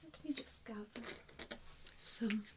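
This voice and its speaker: A woman performing a softly spoken ASMR roleplay as a gentle, reassuring vampire mentor, with a soothing, hesitant manner. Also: a little high, slow pace, very noisy.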